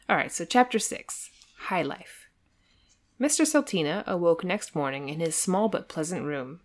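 A woman's voice: fairly low pitch, animated, normal speed. A woman co-hosting a podcast, moving between dramatic storybook narration and casual, amused chat. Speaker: podcast co-host and reader.

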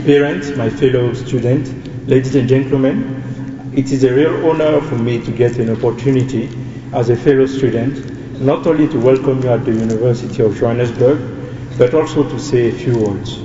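A young man, giving an earnest, measured welcome speech as a student and pausing to restart some phrases. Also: mid-range voice, warm tone, a little hiss or hum. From Ivory Coast. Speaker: student speaker. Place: large hall with audience. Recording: distant roomy hall, dull sound.

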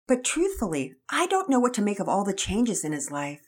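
A woman's voice: medium pitch, huge pitch swings, a little fast. A woman voicing a caring but skeptical mother who speaks wryly about her son's newfound faith. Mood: friendly. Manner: wry, doubtful.

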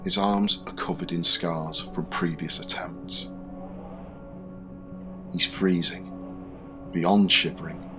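A man's voice: low-pitched, little pitch movement, slow pace, pauses often. An actor playing a young man who tells his own story in a confiding, wry radio drama monologue. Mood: anxious, grave. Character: young man narrating his walk home, wry and anxious. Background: music behind the voice.

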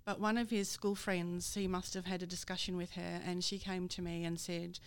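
A woman's voice: lowish voice, little pitch movement, moderate pace, clean tone. A woman from the Netherlands, a guest talking openly about personal matters in a candid interview. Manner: candid, with light laughs and fillers.

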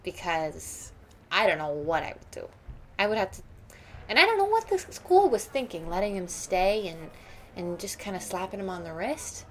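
A woman's voice: mid-range voice, very animated, normal speed, a little hiss or hum, natural voice. A woman playing a snobbish, judgmental wealthy school mother in an improvised radio play, rambling on with boastful opinions. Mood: indignant, opinionated.

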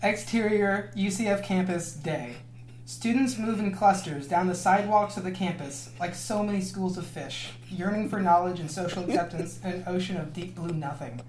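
A woman's voice: on the low side, moderate pace, darkish tone, slight background noise. Woman reading stage directions, roomy echo. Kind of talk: amateur dramatic reading of script directions. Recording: roomy echo, others laughing behind.

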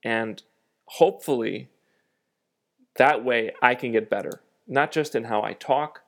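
A man with a nasal voice hosting a solo podcast, thinking aloud in a reflective, self-deprecating way with frequent pauses. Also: medium pitch, gravelly, fairly bright tone. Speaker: podcast host.

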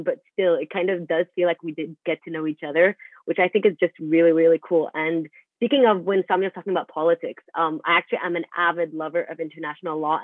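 A young woman talks very quickly and enthusiastically as a student podcast guest. The sound is thin and phone-like, and she chats casually. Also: on the low side, no echo. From Middle East. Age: young adult.

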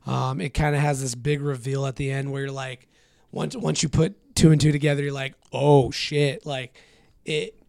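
Hoarse, gravelly male co-host, eager casual talker, dry close sound. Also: medium pitch, flat delivery, moderate pace, a little mellow, no echo. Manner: enthusiastic, many fillers. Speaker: podcast co-host. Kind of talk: casual chat.

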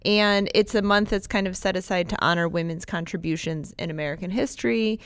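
A woman's voice: on the low side, a little fast, smooth, dry recording. Nasal chatty woman with a Buffalo accent. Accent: Buffalo, New York. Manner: chatty, laughs often, sarcastic asides. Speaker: podcast co-host. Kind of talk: casual chat.

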